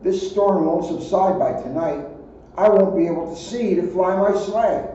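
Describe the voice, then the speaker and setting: Storybook Santa, kindly excitable old man played by a male reader, muffled roomy recording. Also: sits high, unhurried, distant mic, slight background noise. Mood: worried.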